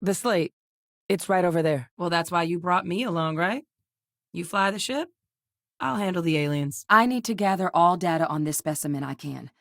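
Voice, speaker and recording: A woman voicing a resolute, earnest military scientist in a video game, speaking in a serious, measured and articulate way. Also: lowish voice, no room sound, studio quality, natural voice. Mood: friendly, composed. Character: resolute female military scientist and soldier, earnest, duty-bound. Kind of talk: acted game dialogue.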